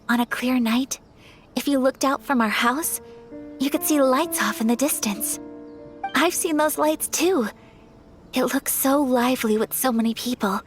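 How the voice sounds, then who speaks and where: An actress voicing a graceful young noblewoman in a game, soft and measured, quietly wistful. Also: high-pitched. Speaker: noble daughter of a clan. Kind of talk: game voice acting.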